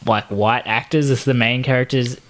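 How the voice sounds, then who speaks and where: A man's voice: mid-range voice, fairly even intonation, normal speed, fairly bright tone. A man co-hosting a podcast, wisecracking and laughing as he chats. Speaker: podcast co-host. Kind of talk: casual chat.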